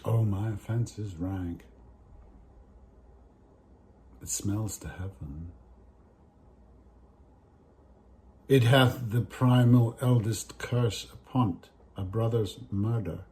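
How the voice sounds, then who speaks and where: Man playing a mellow, guilt-ridden murderous king. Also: fairly low pitch, a little slow, few pauses, slight background noise, close to an everyday voice. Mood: guilty, grave. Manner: solemn, declamatory. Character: guilt-ridden murderous king praying, brooding Shakespearean villain. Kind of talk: classical stage soliloquy recitation.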